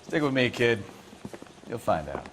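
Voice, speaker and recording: An actor playing a sardonic, streetwise veteran American traffic cop in a crime video game. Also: male voice, mid-range voice, sing-song, unhurried. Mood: wry, teasing. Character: wisecracking, touchy veteran traffic cop, needling his partner. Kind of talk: naturalistic crime game acting.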